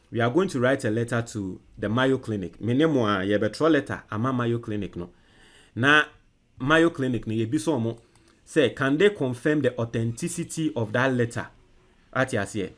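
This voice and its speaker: A man from Ghana with a Ghanaian English accent who hosts a political show on social media. He reads viewer comments forcefully, then dictates an email slowly while he types. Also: medium pitch. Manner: loud and emphatic when reading comments, quiet and halting while typing.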